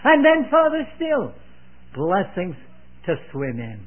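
A man's voice: sits high, huge pitch swings, takes it slowly. A man in his eighties preaching a sermon, earnest and emphatic, repeating phrases with solemn, old-fashioned devotional wording. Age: 80s. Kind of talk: sermon, expounding scripture. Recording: narrow, phone-like old sermon recording.

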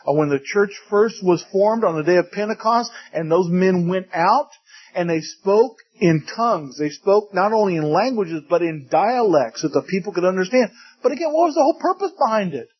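A man's voice: high voice, animated, moderate pace, husky. A man preaching a conversational teaching sermon to a congregation, often asking them questions and joking in passing. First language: English. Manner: conversational, asks the audience questions, jokes in passing. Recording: dull lo-fi recording.

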